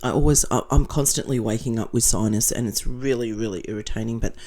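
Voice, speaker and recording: A middle-aged Australian woman with a nasal, croaky morning voice, reading and judging viewer comments in a blunt, sarcastic vlog. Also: extremely low pitch, fast talker, dark tone. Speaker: commentary vlogger.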